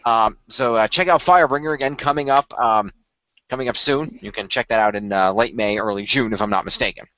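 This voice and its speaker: Man, rapid rambling podcast chat, enthusiastic fan explainer, middle pitch, slightly dull recording. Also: a bit bright, dry recording. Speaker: podcast co-host.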